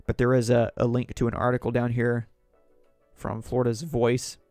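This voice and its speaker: Man, hoarse voice, rambling news commentary, dry close mic, faint background music. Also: mid-range voice, completely flat delivery, normal speed, a little mellow, no echo. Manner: rambling, conversational, reads articles aloud. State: sore throat, losing voice. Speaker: podcast host. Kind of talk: solo political commentary.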